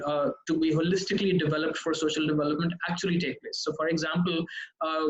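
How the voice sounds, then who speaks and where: A man from Pakistan, a consultant guest, explaining his points in a measured way. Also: on the high side, dull audio. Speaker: guest, social impact consultant. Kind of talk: interview answers.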